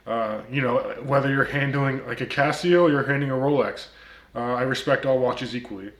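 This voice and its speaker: Guy w/ a bit of a turkish accent, lots of um and uh, dry comebacks, mid pitch, echoey room. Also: brisk, fairly bright tone. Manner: many ums and uhs, wry comebacks. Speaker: watch reviewer, channel host. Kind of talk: casual vlog commentary.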